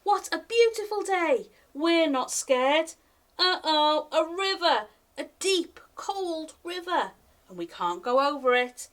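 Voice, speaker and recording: Lady doing library storytime for little kids, slow and singsong, really animated reading. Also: high-pitched. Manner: expressive, singsong, addressing young children directly. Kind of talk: animated children's picture book read-aloud.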